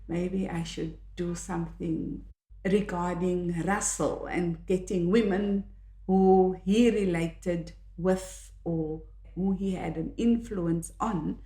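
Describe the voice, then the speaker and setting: An older woman from South Africa, a native Afrikaans speaker with a South African accent, reflecting on her own life in a measured, earnest way. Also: on the low side, mellow. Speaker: author and podcast host. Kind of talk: reflective personal monologue. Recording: echoey room.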